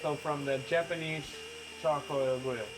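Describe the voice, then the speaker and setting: A man's voice: fairly high pitch, steady tone, unhurried, noisy background. A man who is a guest chef, chatting casually while cooking in a kitchen, often restarting and repeating words as he talks enthusiastically about food.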